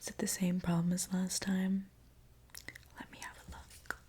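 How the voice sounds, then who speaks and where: A woman whispering softly in an ASMR roleplay as a friendly, caring mechanic, with a gentle, soothing manner. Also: on the low side, completely flat delivery. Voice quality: breathy soft whisper. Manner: gentle, unhurried, soothing reassurance. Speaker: ASMR performer playing a robot mechanic.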